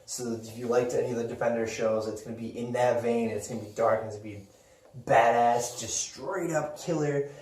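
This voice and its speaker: A man's voice: medium pitch, steady tone, brisk, a little mellow, slight background noise. Echoey, enthusiastic man hosting, off mic. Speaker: host of a pop culture video show. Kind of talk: casual enthusiastic fan discussion. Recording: roomy echo, distant mic.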